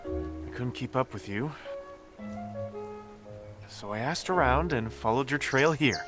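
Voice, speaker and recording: A man's voice: mid-range voice, lively intonation, a little slow, close to an everyday voice. A man playing an earnest, helpful young companion in a video game, with an eager and reassuring manner. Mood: earnest, slightly rueful. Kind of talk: video game character acting. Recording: music behind the voice.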